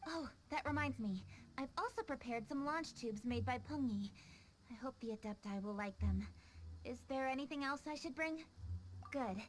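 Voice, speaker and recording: A woman's voice: high voice, animated, moderate pace, a little hiss or hum. An actress playing a polite, efficient, dutiful young lady official in a video game cutscene, courteous and formal. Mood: businesslike, friendly. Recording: faint music behind the voice. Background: background music.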